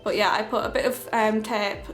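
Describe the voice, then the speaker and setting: A woman's voice: medium pitch, steady tone, normal speed, fairly bright tone. A young woman with a cold, chatting through a makeup tutorial with lots of giggles and fillers. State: has a cold. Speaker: beauty vlogger. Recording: echoey room with light music.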